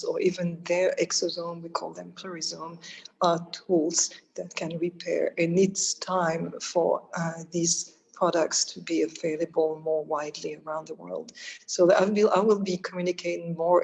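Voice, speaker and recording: A woman from France speaks on a video call panel, her voice gravelly and a little husky. She talks haltingly, filling gaps with "uh" and restarting phrases as she explains. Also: on the low side, low-quality recording. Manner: hesitant, many fillers and restarts. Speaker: panel speaker, stem cell doctor. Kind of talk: conference talk over video call.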